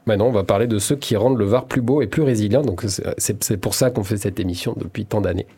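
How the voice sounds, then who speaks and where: A man's voice: on the low side, fast, mellow, slightly rough. A man hosting a local radio show, chatty and promotional, speaking into a studio microphone in a small studio. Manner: chatty, promotional, self-correcting. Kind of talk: casual radio presenting.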